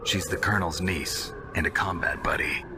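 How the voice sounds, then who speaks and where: A legendary soldier in a game cutscene, brooding and blunt. His very deep voice gives terse, weary lines, with music clearly behind. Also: moderate pace. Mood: guarded. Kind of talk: video game cutscene acting.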